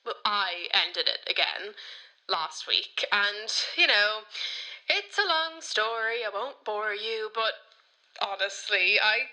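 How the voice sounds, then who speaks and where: A woman's voice: mid-range voice, expressive, takes it slowly, bright, forceful tone, heavily acted, rough recording. A young woman with an Irish accent playing a tipsy, giddy granddaughter, rambling and confessional, in a radio play voicemail. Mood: tipsy, brittle cheer. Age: young adult. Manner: rambling, exclaiming, giggly.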